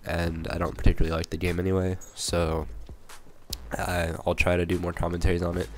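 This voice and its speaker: A young man with a cold, hoarse and a little raspy, rambling through casual gameplay commentary with many fillers and restarts. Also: low-pitched, monotone, brisk, a little mellow, soft background music. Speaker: gaming channel commentator.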